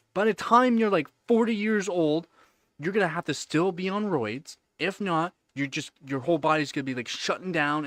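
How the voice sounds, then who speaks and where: A man's voice: high voice, no echo. Man in his thirties, livestream host, rambling casual chat, heavy fillers, dry close sound. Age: 30s. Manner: rambling, repeats words, many "like" fillers. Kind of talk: casual live-stream chat answering viewers.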